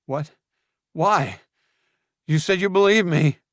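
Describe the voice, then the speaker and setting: A man's voice: fairly high pitch, slow, fairly bright tone, no echo, studio quality, voice pushed up. A man voicing a cornered young male traitor who argues defensively and pleads, growing agitated under questioning. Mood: defensive, pleading.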